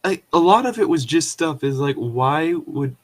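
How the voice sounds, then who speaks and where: A man's voice: fairly high pitch, expressive, moderate pace. A man giving casual commentary and reading aloud as a co-host, in a rambling, incredulous, sweary manner. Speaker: co-host reading and reacting to online horror stories.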